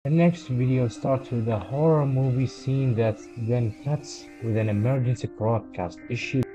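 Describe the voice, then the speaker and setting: A man narrating a horror video commentary in a halting way, pausing mid-sentence as he explains and reads out quotes. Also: medium pitch. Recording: dull lo-fi voice recording. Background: background music.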